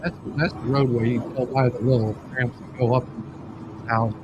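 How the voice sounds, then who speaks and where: A man hosting a casual live stream, talking haltingly and often repeating his words. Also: medium pitch, steady tone, dull audio, noisy background.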